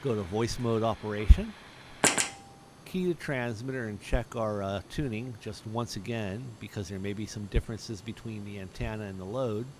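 A man's voice: on the low side, moderate pace. A middle-aged man giving a plain, matter-of-fact step-by-step demonstration as an amateur radio operator. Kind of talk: step-by-step instructional narration. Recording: clearly audible background noise.

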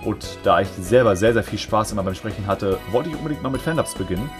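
A man with a calm voice talking informally and explaining his work as a narrator. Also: lowish voice, fast talker. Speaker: fan dub narrator and video creator. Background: background music.

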